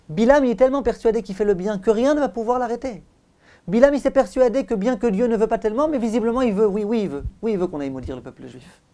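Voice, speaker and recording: Rabbin à la voix douce et feutrée. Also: male voice, high voice, dark tone. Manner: didactic, emphatic, with rhetorical questions and repetition. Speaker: rabbi giving a Torah lesson. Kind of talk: religious lecture.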